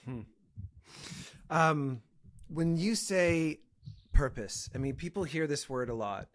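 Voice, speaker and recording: A man's voice: on the high side, lively intonation, slow pace. A man hosting a podcast interview, chatting casually with a playful, joking manner. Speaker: podcast host.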